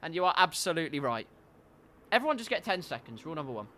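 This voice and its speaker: A man's voice: a little high, quick pace, slight background noise. Congested man commentating a sim race. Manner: wry asides, gets loud and excited at crashes. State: congested, stuffy nose.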